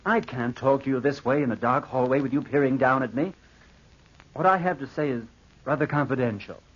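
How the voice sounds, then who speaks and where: An actor playing a spendthrift young nephew in a vintage radio drama, melodramatic and self-pitying, with a scheming streak. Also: male voice, mid-range voice, brisk, breathy edge, muffled recording, close to an everyday voice. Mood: nervous, pleading. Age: young adult. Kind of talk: 1940s radio acting with first-person narration.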